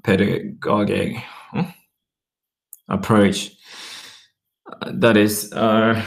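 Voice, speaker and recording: A man talking casually to his viewers, rambling and often checking in with "okay" while reading web pages aloud slowly. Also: lowish voice. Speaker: vlogger. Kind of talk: casual talk to camera.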